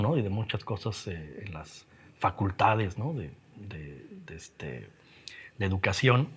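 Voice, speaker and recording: A middle-aged man with a Mexican accent, talking reflectively in an interview, haltingly and with frequent tag questions. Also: lowish voice, a bit bright, a little hiss or hum. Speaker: interviewed graphic designer and teacher. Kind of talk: reflective personal interview talk.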